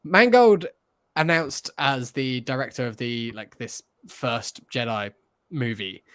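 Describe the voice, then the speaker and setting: A man's voice: mid-range voice, takes it slowly, fairly bright tone, clear, resonant tone. A man co-hosting a podcast, talking in a casual, informal way like a chatty fan. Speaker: podcast co-host. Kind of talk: casual chat.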